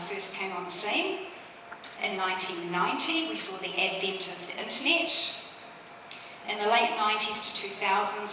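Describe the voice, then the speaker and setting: A woman's voice: medium pitch, background noise. A middle-aged woman with a New Zealand accent giving a conversational conference keynote with fillers and asides, recorded in a large hall. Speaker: conference speaker. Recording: echoey hall, distant from mic.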